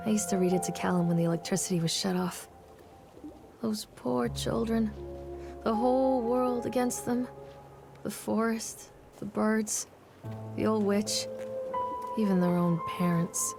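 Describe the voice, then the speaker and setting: Anguished mother for a game drama, haunted and desperate, middle-pitched, music underneath. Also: level tone, unhurried, vocal fry, long pauses. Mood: haunted, sorrowful. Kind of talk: game monologue, inner narration. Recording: music behind.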